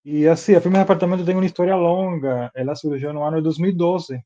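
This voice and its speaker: A man with a fairly high but mellow voice and a Cuban accent, a native Spanish speaker. He talks informally as an interview guest, in a slightly dull lo-fi recording. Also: fairly even intonation, quick pace. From Cuba. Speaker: interview guest, film producer and director.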